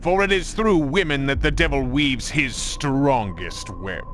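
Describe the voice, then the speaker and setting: An actor playing a pompous, menacing Templar commander in a video game, scornful and theatrical with formal oratory. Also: male voice, mid-range voice, unhurried, a bit bright, slight background noise, lightly acted. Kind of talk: dramatic video game cutscene acting.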